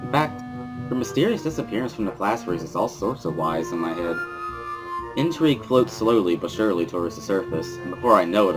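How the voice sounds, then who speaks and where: Male narrator, fairly low pitch, dramatic scripted reading with music bed. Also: rough recording.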